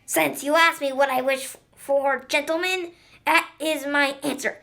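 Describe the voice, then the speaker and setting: A cold old male miser in a school radio play, curt and dismissive, voiced by a child. The voice sits high and light. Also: steady tone, measured pace, sharp tone, full character voice.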